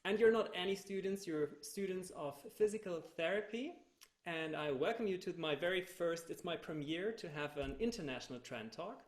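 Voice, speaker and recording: Echoey German-accented man hosting, higher-pitched voice. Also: animated, moderate pace. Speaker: youth researcher hosting an online panel. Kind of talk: moderating a group video talk. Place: indoor room on a video call. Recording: echoey room on a video call.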